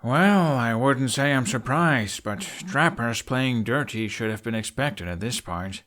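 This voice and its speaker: A man's voice: sits high, measured pace, husky, pitched above the performer's own voice, heavily acted. A man voicing an earnest young male dragon rider who drawls and speaks breathily, in a read-aloud story. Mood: wry.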